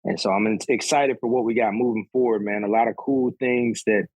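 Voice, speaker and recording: A man in his thirties, a podcast guest, chatting in a relaxed, rambling way, often tacking "man" onto his sentences. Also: medium pitch, brisk, dry recording, rough recording. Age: 30s. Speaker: podcast guest, golf sneaker reviewer. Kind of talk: casual conversation.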